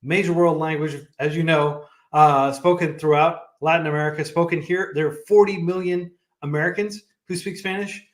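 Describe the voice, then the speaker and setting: A man's voice: fairly high pitch, steady tone, normal speed, hardly any pauses. A man talking casually as a guest on a livestream, chatty and full of fillers and restarts. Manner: chatty, many fillers and restarts, laughs often. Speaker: founder of a coding nonprofit, guest. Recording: echoey room.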